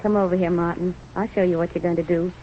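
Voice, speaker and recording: An actress playing a plucky, devoted young wife with frank, stubborn talk in a vintage radio drama. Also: female voice, lowish voice, steady tone, fast, lightly acted. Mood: tender, admiring. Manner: frank, firm, plain small-town talk. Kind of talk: 1930s radio drama acting. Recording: tinny, noisy old radio recording.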